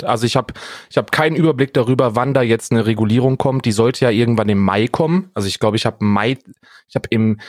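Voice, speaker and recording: A man's voice: mid-range voice, darkish tone, no echo, clean studio sound. A man co-hosting a casual comedic podcast, speaking informally and often rephrasing himself. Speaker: podcast co-host.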